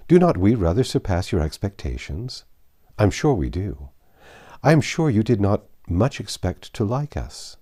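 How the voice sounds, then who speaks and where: A male reader takes the part of a young gentlewoman in a classic novel, poised and playfully teasing. The voice is mellow and husky, with a lively lilt and courteous phrasing. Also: fairly low pitch, moderate pace. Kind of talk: dramatised audiobook reading of a classic novel.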